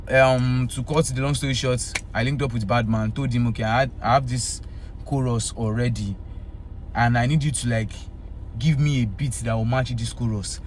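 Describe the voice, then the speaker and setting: Young man, relaxed rambling vlog talk, faint hum behind. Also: medium pitch. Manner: relaxed, rambling, asks "do you understand?". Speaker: musician talking about his EP. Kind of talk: casual talk to camera. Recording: faint background noise.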